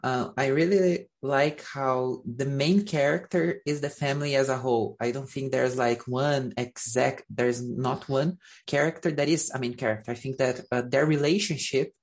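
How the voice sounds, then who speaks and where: A man's voice: medium pitch, fairly even intonation, normal speed, hardly any pauses. A man in his twenties, a medical student guest, answering questions hesitantly with many fillers. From Brazil. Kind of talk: casual interview answers.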